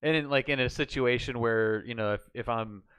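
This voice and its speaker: A man's voice: medium pitch, brisk, fairly bright tone, clear, resonant tone. A man chatting casually on a live stream, talking through hypothetical situations as he works them out. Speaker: flight sim streamer learning radio procedures.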